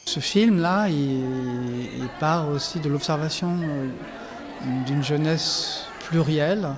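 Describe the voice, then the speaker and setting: A man's voice: a little high, slow, big-room sound, a crowd in the background, noisy background. A man speaking as a documentary filmmaker giving reflective interview answers, hesitant, with many fillers and restarts.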